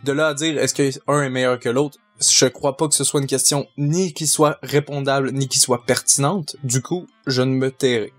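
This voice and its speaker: A man's voice: mid-range voice, fairly even intonation, moderate pace, few pauses, soft background music. A man with a Quebec French accent, casually answering viewer questions and thinking aloud as he talks. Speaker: fandub group member.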